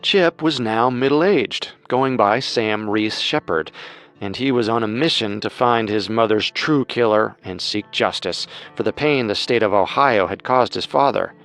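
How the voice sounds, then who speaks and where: A man's voice: fairly low pitch, unhurried, a bit bright. A man reading scripted true-crime narration. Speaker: narrator, co-host. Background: music.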